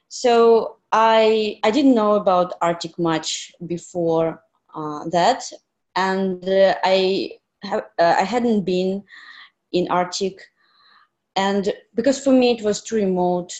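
A young woman from Russia presents over a video call. Her voice sits a bit low, and she pauses often with fillers. Her English carries non-native phrasing. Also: darkish tone. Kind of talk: online conference talk.

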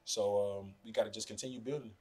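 A man's voice: on the low side, fast, slightly rough. A young man in his twenties, a basketball player answering questions at a postgame press conference, speaking loosely with many "you know"s into podium mics. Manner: relaxed, fills with "you know" often. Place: press room in front of a sponsor backdrop. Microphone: podium mics in front of him.

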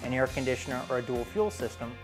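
Man, thirties, American accent, mid-range pitch, scripted explainer, instructional tone, music in background. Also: lively intonation, fast. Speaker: presenter for a home heating and cooling company.

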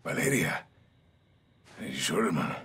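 An actor playing a fiery, principled special forces commander in a video game, intense and emphatic, with a Mexican accent. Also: male voice, extremely low pitch, sing-song, normal speed, a bit bright, slightly rough, heavily acted. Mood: tense, focused. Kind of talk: video game cinematic acting. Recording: music behind.

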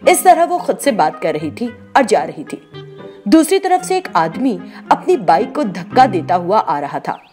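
A woman's voice: mid-range voice, very animated, normal speed, darkish tone, soft background music. A woman reading a scripted story as narrator.

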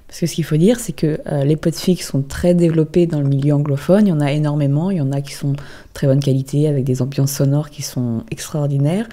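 A woman host chatting casually to her listeners. Her voice sits low and is very soft-edged and dark, with a relaxed conversational delivery. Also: quick pace. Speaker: host of a fanfiction channel.

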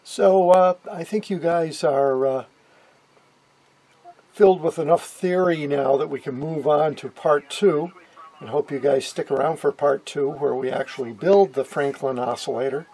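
Husky middle-aged man, higher-pitched. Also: a little slow, mellow, rough recording. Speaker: video host. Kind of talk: casual talk to viewers. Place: indoor electronics workshop.

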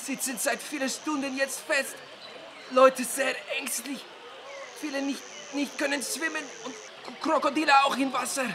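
High-pitched, stammering captain. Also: male voice, fairly even intonation, noisy background. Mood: anxious, flustered. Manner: halting, stammering, fragmented broken grammar. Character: flustered ship captain speaking broken German, anxious. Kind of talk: children's audio drama acting.

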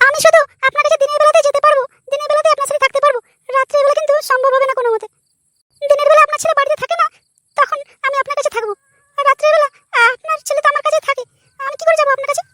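A woman voicing a dutiful, pleading young daughter-in-law in a comic cartoon, squeaky and placating. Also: steady tone, rapid-fire, noisy background.

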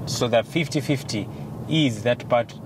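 A man in his forties with a Ugandan English accent, a law firm partner explaining things in a measured way with many fillers, interviewed in a moving car. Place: passenger seat of a car. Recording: loud car road noise. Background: engine and road rumble.

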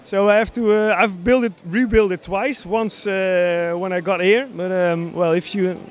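Higher-voiced Dutch man explaining his hobby. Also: fairly even intonation, unhurried. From Netherlands. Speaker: hobby model builder, interviewee. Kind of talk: casual interview explanation. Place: large busy exhibition hall, indoors. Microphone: handheld interview microphone.

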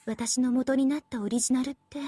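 A woman's voice: sits high, fairly even intonation, fairly quick, mellow, breathy edge. An actress playing a smug, teasing young AI devil girl in an anime game, with a sweet girlish voice and a playful, mock-polite, condescending manner. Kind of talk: Japanese anime game voice acting.

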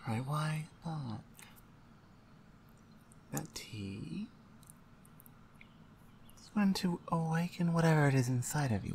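A woman playing a stern, dominant female orc shaman in a roleplay ASMR audio, speaking in a commanding, deliberate, taunting way. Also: low-pitched, vocal fry, a little mellow, a little hiss or hum, full character voice.

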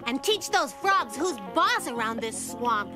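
An actress voicing a clever, bossy little girl in a cartoon, sensible and sharp-tongued. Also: high voice, sing-song, unhurried. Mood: exasperated. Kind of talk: cartoon voice acting. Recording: music bed under dialogue.

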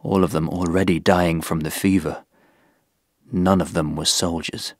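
Haunted, halting crusader, slow and dry. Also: male voice, low voice, fairly even intonation, no echo. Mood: hushed horror. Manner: halting, repeating words, anguished. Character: haunted, guilt-stricken crusader recounting a massacre he witnessed. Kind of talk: dramatic first-person narration in historical audio drama.

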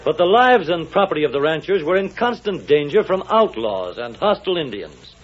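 A man's voice: on the high side, sing-song, brisk, highs cut off. A man narrating a 1940s radio serial as announcer, in a dramatic, declamatory style on an old recording.

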